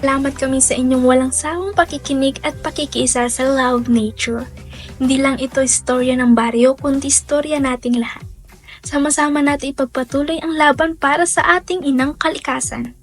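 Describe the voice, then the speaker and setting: A woman's voice: high-pitched, fairly even intonation, a little fast. A woman presenting as a radio DJ in a scripted hosting style. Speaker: radio DJ and host. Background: music.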